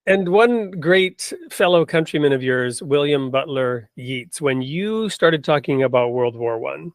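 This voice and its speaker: A man hosting a discussion, speaking in a deliberate, reflective way with emphatic phrasing as he talks and recites. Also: a little high, expressive, no echo. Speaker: host. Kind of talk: conversational hosting with poetry reading.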